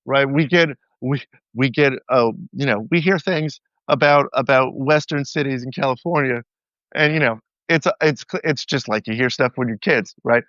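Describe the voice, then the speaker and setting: A man's voice: medium pitch, normal speed, a bit bright, dry recording, highs cut off. A man from the American South, a podcast guest, talking casually and wryly with lots of fillers, over remote call audio. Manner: loose, filler-heavy, wry. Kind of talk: casual political chat.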